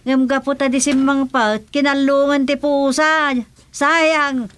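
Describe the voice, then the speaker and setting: An actress playing a nagging, inquisitive village housewife in a radio comedy drama, with an Ilocano accent and a complaining manner. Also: female voice, sits high, fairly even intonation, slow, fairly bright tone. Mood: grumbling. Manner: questioning, complaining.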